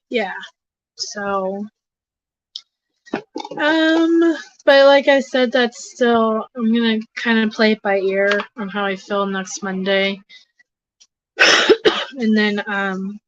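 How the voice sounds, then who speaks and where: A hoarse, congested woman hosting a livestream, rambling casually with lots of fillers and restarts. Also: medium pitch, no room sound, muffled recording. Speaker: host of an advocacy livestream. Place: home desk.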